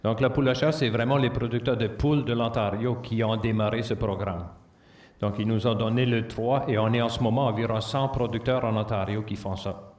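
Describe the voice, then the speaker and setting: Low-voiced man, non-native French, in a hall. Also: steady tone, a little mellow. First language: not French. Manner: plain, halting, with restarts. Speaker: farmer answering questions. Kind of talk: Q&A at a conference. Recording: echoey hall, distant mic.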